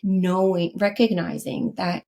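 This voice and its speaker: A woman's voice: fairly low pitch, steady tone, measured pace, vocal fry, a little mellow, slight background noise. A Canadian woman, a guest in an interview, talking earnestly and often checking in with "right?". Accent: Canadian English. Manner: earnest, frequent "right?" tags and restarts. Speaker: podcast guest, leadership consultant. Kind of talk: interview conversation.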